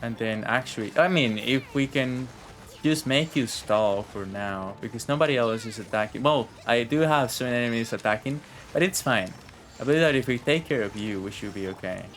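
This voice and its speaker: A man's voice: mid-range voice, normal speed, fairly bright tone, a little hiss or hum. A man chatting and rambling as he thinks aloud, commentating casually on a video game he is playing. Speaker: game streamer. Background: video game music and dialogue.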